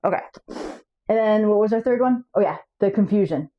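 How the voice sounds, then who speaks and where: A woman's voice: medium pitch, expressive, a little fast, lo-fi sound. A woman hosting her own channel, talking casually to camera about raising kids with several languages, often restarting words and checking her notes. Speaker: language coach and channel host.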